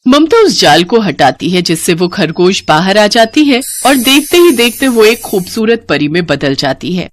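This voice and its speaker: A woman's voice: mid-range voice, big pitch swings, normal speed, hardly any pauses. A woman reads a scripted story as narrator. Her voice sits in a middle register, in the style of a moral tale for listeners.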